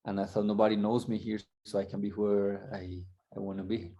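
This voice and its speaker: A man from Colombia, a guest coach, telling his story candidly and reflectively, halting and restarting as he goes. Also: fairly low pitch, little pitch movement, dark tone, highs cut off.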